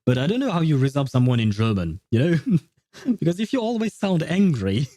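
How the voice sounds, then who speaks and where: A man's voice: fairly high pitch, sing-song, brisk, mellow, no echo, clean studio sound. A man streamer chatting casually with viewers in a rambling, hesitant way. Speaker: livestreamer. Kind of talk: casual livestream chat, replying to viewers.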